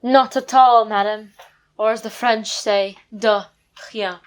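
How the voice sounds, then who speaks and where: A woman's voice: a little high, fairly even intonation, slow, smooth. A woman with an English accent playing a cheeky, cocky eleven-year-old boy detective in a student radio play.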